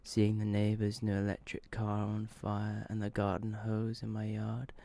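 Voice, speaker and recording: Hushed, gravelly man reading ASMR horror. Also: lowish voice, monotone. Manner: hushed, slow and deliberate, often dropping into whisper. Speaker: ASMR video creator and host. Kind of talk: ASMR, soft-spoken reading of short horror stories.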